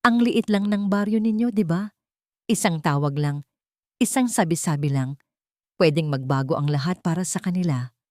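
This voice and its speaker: A woman voicing a menacing male doctor in an audiobook drama, soft-spoken with veiled, venomous threats. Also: fairly low pitch, moderate pace, no echo, clean studio sound, close to an everyday voice. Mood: soft menace.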